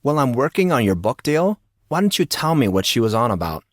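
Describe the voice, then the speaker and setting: A man voicing a teasing, excitable teenage schoolboy in an audio drama, questioning and exclaiming with mock drama. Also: mid-range voice, fairly quick, no room sound, studio quality, voice pushed up, lightly acted. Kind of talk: audio drama character dialogue.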